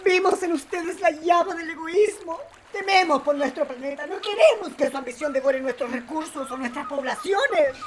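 A woman's voice: sits high, normal speed, a crowd in the background, lightly acted. A woman playing a grandiose alien visitor in a campy parody radio play, speaking in a solemn, declamatory, melodramatic way. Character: grandiose alien visitor turned cabaret announcer. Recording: long hall reverb with music behind. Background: music.

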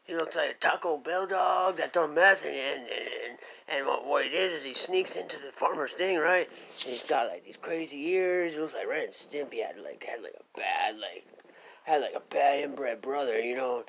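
A man telling a comic story in a slangy, stammering, repetitive and excitable ramble. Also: fairly high pitch, normal speed, gravelly. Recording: slightly noisy recording with clicks.

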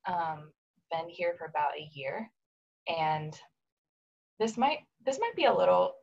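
Low, husky-voiced woman in her twenties. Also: a little slow, dull audio. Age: 20s. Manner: relaxed, uses fillers, laughs lightly. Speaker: podcast guest. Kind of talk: casual conversational storytelling.

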